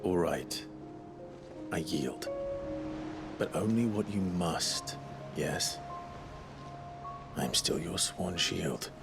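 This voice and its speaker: An actor playing a stoic, resolute warrior and protective elder brother in a dramatic video game, grave and measured, with music behind him. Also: male voice, deep voice, animated, heavily acted. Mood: grave concern.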